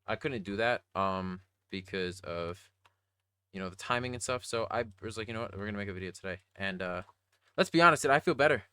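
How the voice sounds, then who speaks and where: A young adult man with a slightly raspy voice, talking casually and earnestly in a rambling, slangy video diary. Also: on the low side, expressive, fairly quick, sharp tone, no echo. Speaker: vlogger.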